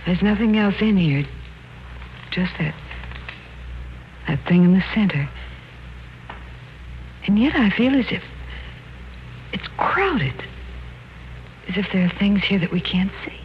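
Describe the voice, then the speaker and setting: An American actress playing a curious, uneasy wife in an old-time radio horror drama. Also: female voice, mid-range voice, animated, takes it slowly, pauses often. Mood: uneasy, awed. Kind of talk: 1940s-50s radio horror drama acting. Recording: muffled, noisy old-time radio recording.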